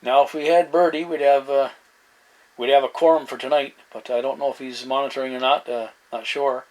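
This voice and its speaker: A man in casual two-way radio chat as a hobbyist, speaking in halting phrases with radio slang. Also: mid-range voice, fairly even intonation, darkish tone, a little hiss or hum, poor audio.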